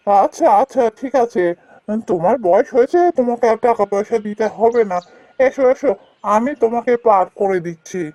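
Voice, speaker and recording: A woman's voice: on the high side, fairly quick, dark tone, dull audio, natural voice. A woman playing a poor village boatman in a fairy-tale cartoon, fretful and plain-spoken. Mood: friendly.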